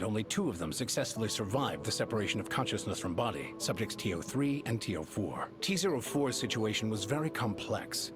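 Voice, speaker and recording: An actor playing a composed, authoritative male scientist in a video game, explaining grim matters in formal, measured, articulate speech. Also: medium pitch, natural voice. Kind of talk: scripted game dialogue, formal exposition. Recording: game music behind the voice.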